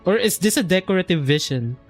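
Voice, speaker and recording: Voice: mid-range voice, animated, brisk. Filipino streamer with a mid-range voice. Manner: informal, reactive, muses aloud. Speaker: game streamer. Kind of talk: casual stream commentary mixing English and Filipino. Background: game music.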